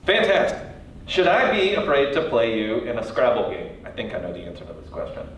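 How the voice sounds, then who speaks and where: A man's voice: medium pitch, wildly swooping pitch, fairly quick, a bit bright. A man hosting a casual, informal interview in a playful way. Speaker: interviewer, host. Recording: very echoey, distant, dull sound, faint noise.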